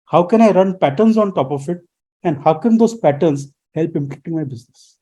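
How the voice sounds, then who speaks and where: A man's voice: on the high side, animated, fairly quick, mellow, dull audio. A man, a guest explaining things in a radio interview and posing rhetorical questions as he lectures. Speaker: guest expert.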